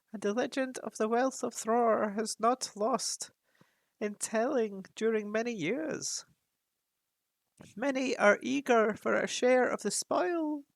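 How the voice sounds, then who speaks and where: A woman voicing a huge, very old male raven chief who speaks with a croaking, solemn, measured voice. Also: fairly high pitch, fairly even intonation, no echo, pitched above the performer's own voice. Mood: solemn warning.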